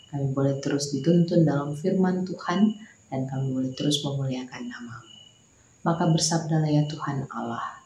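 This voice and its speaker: A woman pastor with a Makassar accent, praying and preaching a morning devotional, slow and prayerful, rising to emphatic preaching. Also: deep voice, dark tone, roomy sound, a little hiss or hum, rough recording.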